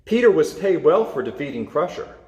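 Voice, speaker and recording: A man with a slightly high, very animated voice reads a storybook aloud. He stresses the dramatic words like a storyteller. The room rings with echo around him. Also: a little fast, highs cut off. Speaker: storybook reader. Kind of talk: reading a children's superhero story aloud. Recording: echoey, reverberant room.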